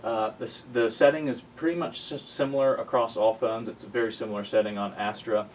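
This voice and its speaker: A young man presenting a casual tech tutorial in an informal, halting way, often correcting himself. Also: on the low side, fairly even intonation, slight background noise. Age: young adult. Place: small office cubicle indoors, then screen recording voice-over.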